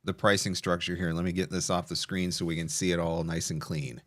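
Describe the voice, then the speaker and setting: A man's voice: sits low, level tone, a little fast, a bit bright, no echo, clean studio sound. A man hosting an online session in a conversational style, guiding a guest and explaining points to viewers. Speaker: session host and voice actor.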